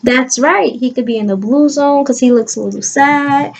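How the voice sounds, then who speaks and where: A woman kindergarten teacher giving an expressive read-aloud lesson to young children, coaxing listeners with questions and stressing key words. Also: high-pitched, normal speed, creaky.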